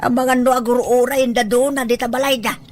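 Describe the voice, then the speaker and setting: An impatient, nagging relative in an Ilocano family drama, played by a woman. The voice is somewhat high, with a prodding, questioning delivery that keeps hurrying others along. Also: fairly even intonation, brisk, lightly acted. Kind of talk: Ilocano audio drama acting.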